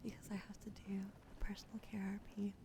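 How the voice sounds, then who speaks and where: A woman whispering softly and sleepily on an ASMR livestream. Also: medium pitch, completely flat delivery, background noise. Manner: soft, slow, sleepy whispering. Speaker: ASMR streamer.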